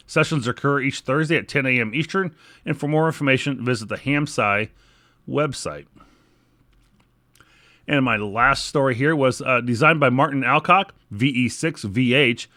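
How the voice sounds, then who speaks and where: A man's voice: medium pitch, sharp tone, no room sound, clean studio sound. An American man hosting a podcast, moving between read news items, sponsor reads and informal remarks. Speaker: podcast host.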